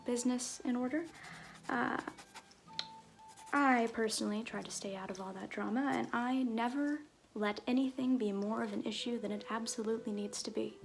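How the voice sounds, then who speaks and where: A woman playing an easygoing, slyly flirtatious circus fool in an ASMR roleplay, talking softly and hesitantly, often trailing into whispers. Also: fairly high pitch, creaky, slight background noise. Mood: casual, reassuring. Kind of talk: ASMR roleplay, improvised-sounding casual talk.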